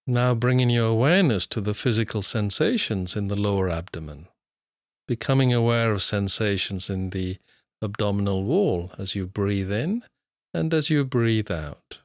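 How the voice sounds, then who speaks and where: A man narrating a guided meditation as an instructor, in measured, unhurried short phrases with long pauses. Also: fairly low pitch, animated, dry recording, studio quality.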